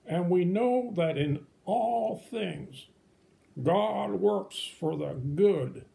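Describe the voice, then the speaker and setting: A man's voice: sits high, creaky, warm tone, voice pushed up, exaggerated voice. A man voicing a cheeky, wisecracking old turkey puppet in a children's puppet show. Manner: joking, teasing, punctuated with gobbles.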